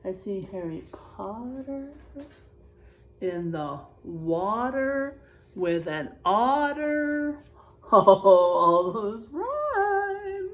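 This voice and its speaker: Lady teacher doing a storybook read aloud for little kids, slow and really sing-song up and down, draws out the rhymes, chats and exclaims between bits. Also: on the high side. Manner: expressive, drawn-out emphasis on rhymes, exclaiming. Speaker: elementary school teacher reading aloud. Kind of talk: animated picture-book read-aloud with chatty asides to children.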